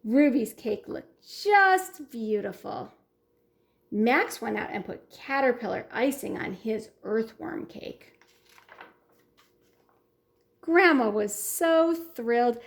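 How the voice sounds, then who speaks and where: A woman hosting a children's story time and reading a picture book aloud in an expressive way. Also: fairly high pitch, slow pace, vocal fry. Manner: warm, expressive storytelling for kids.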